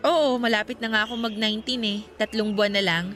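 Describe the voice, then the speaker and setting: Bright, high-voiced Filipina teenage girl, played by an actress. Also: fairly even intonation, quick pace, close to an everyday voice. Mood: coy, teasing. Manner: girlish, complaining, conversational. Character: sulky, shy eighteen-year-old Filipina student girl, Karina. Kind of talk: radio drama acting.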